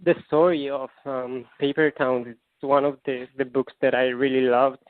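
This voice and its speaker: A man from Israel with an Israeli accent, a singer-songwriter answering interview questions in halting English with many fillers and restarts; Hebrew is his first language. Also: medium pitch, fairly even intonation, no echo. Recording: tinny phone line, dry.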